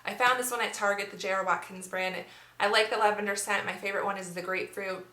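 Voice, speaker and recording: A woman's voice: mid-range voice, fairly even intonation, quick pace, bright, forceful tone, reverberant. A woman in her twenties chatting casually and upbeat about her favorite beauty products, with lots of fillers. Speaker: beauty vlogger. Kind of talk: casual monthly favorites review.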